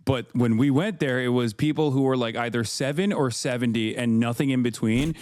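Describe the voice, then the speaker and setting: A man's voice: medium pitch, fairly quick, a little mellow. A man in his thirties from Turkey, talking loosely in a podcast conversation with many fillers and restarts. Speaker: political commentator, guest.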